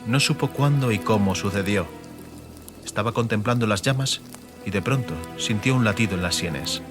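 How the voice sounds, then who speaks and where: A man narrating a fantasy audiobook dramatically, in measured, emphatic phrases. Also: on the low side. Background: music and atmospheric sound effects.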